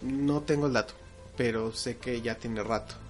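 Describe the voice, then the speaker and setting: A man's voice: medium pitch, fairly even intonation, normal speed. A young man with a Mexican accent chats loosely on a podcast, joking and swearing as he tells a story. Faint music plays underneath him. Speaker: podcast co-host. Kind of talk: casual chat.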